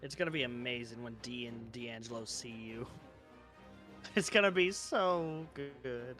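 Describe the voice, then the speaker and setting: A man's voice: medium pitch, slow pace, pushed and bright, soft background music. A man chatting casually as a player in a tabletop roleplaying game.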